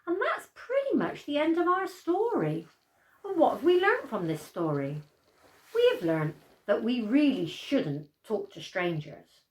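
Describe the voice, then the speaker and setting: An older woman telling a bedtime story in an animated storyteller's style. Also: on the high side, unhurried, echoey room, poor audio.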